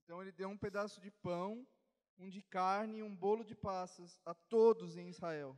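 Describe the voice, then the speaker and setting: A man interpreting a sermon live, turning each phrase over quickly and fluently. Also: high voice, fairly even intonation, a little mellow. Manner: quick, fluent rendering with fillers. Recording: long hall reverb.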